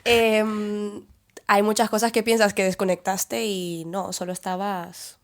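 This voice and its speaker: A young woman from Venezuela with a Venezuelan Spanish accent, talking through her thoughts in a relaxed podcast chat, with pauses and restarts. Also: mid-range voice. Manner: reflective, thinks aloud with fillers and restarts. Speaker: podcast guest. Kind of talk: casual conversation.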